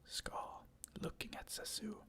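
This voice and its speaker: Slow husky whispering reader. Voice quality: breathy, husky. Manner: soft whisper, stage directions. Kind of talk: whispered ASMR script reading.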